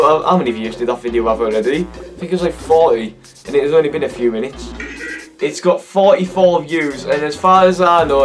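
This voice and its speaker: Hoarse teenage Cumbrian lad. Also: male voice, a little high, far from the mic. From Cumbria, England. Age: teens. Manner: laughing, swearing, incredulous. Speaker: YouTuber. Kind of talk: casual reaction vlog. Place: bedroom, indoors. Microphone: webcam at desk.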